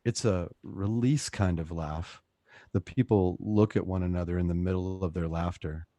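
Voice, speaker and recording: A low-pitched man reads dramatic narration for a radio play. The sound is dry and close, with hardly any room in it. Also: steady tone, moderate pace, dark tone, dry recording.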